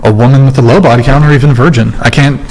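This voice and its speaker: A man hosting a live stream, chatting casually and halting often as he reads out chat messages. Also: mid-range voice, steady tone, darkish tone, low-quality recording. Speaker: livestream host.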